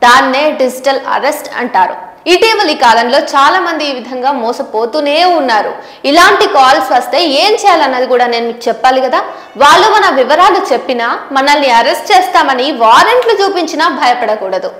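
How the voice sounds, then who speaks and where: Female news presenter, high voice, scripted explainer delivery, echoing room. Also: lively intonation, moderate pace, fairly bright tone. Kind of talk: scripted explanatory narration to viewers. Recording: roomy, echoing voice recording.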